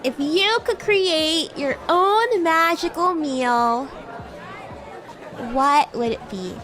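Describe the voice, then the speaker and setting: A woman livestreaming in character as a bratty, playful young demon classmate, chatting in a giggly way with drawn-out, hesitant words. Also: high voice, lively intonation, a bit bright, faint music behind, a faint crowd. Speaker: VTuber streamer.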